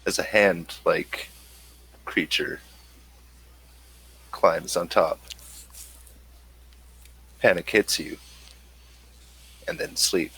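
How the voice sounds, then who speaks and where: A man with a low voice narrates a tabletop game as game master. He speaks very slowly, in short halting phrases with pauses between them. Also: creaky, fairly bright tone, husky, poor audio.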